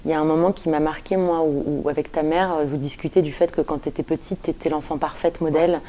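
A woman with a low, warm voice hosts a conversational podcast. She frames her questions gently and leads her guest toward personal reflection. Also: fairly even intonation, fast. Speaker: podcast host. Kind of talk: casual interview questions.

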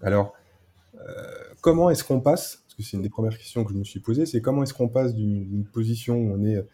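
Male podcast interviewer, very dark low-lit timbre, husky edge, casual and searching in his phrasing. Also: a little fast.

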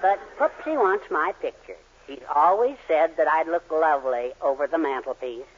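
An actor playing a vain, blustering middle-aged father with a husky voice in a 1940s radio comedy. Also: on the high side, animated, moderate pace, background noise, lightly acted. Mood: indignant. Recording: muffled 1940s radio recording.

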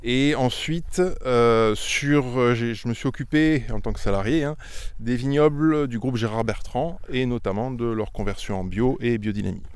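A man's voice: mid-range voice, moderate pace, smooth, no room sound. A middle-aged man from Auvergne, a winemaker explaining his vineyards in a casual interview, thoughtful and often repeating words as he goes. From Auvergne, France. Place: outdoors among vineyards.

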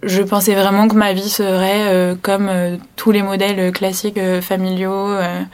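A woman in her early twenties reflects aloud, thoughtful and hesitant. Her voice stays flat and even in pitch, barely rising or falling. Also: normal speed. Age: 20s. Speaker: student interviewee. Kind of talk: reflective personal testimony.

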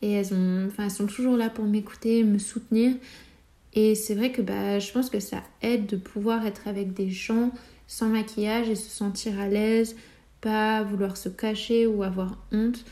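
Soft, mellow young woman, candid and hesitant. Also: medium pitch, fairly even intonation, moderate pace. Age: 20s. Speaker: guest sharing personal testimony. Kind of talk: personal storytelling, candid reflection.

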